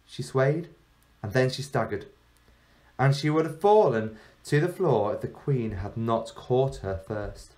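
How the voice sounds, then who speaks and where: A man reading a story aloud like a teacher, in measured phrases with deliberate pauses. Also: mid-range voice, expressive, a little mellow, low-quality recording. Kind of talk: storybook narration.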